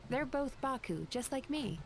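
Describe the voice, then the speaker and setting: A woman's voice: high voice, expressive, normal speed, gravelly, soft background music. A woman voicing a composed, gracious young spirit innkeeper in a video game, polite and poised.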